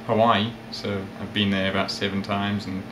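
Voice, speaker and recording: A man of about thirty with a mixed South African, English and Australian accent, chatting casually and a little haltingly as an interview guest. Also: lowish voice. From South Africa, with years in England and Australia. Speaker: interview guest, ukulele player and magazine editor. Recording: roomy echo, steady background noise.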